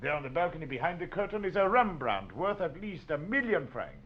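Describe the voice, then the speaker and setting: An actor playing a wealthy, urbane French dinner host, sly and teasing, in 1940s radio drama. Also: male voice, a little high, sing-song, brisk. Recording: dull, noisy vintage 1940s radio.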